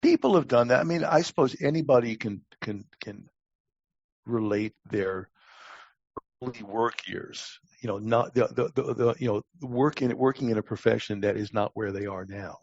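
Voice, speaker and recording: A man in his sixties with a gravelly voice, telling stories casually as a podcast guest in a halting, wry way with repeated words. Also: lowish voice, darkish tone, no echo. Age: 60s. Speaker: podcast guest, longtime lifeguard. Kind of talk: casual interview storytelling. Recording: dull, lo-fi close recording.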